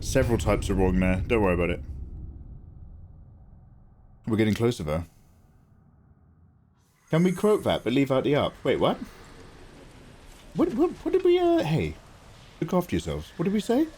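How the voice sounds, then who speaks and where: A man's voice: mid-range voice, huge pitch swings, moderate pace, pauses often, a little mellow, a little hiss or hum. A man in his late thirties streaming a video game live, chatting casually with viewers and swearing freely. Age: 30s. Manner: chatty, frequent swearing, greets chat by name. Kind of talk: casual live-stream chat while gaming.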